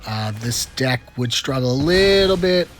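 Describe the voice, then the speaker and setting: A man's voice: mid-range voice, lively intonation, a little slow, slight background noise. A chatty, upbeat man hosting casual gameplay commentary with frequent fillers, a little congested from a cold. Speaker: gaming channel host.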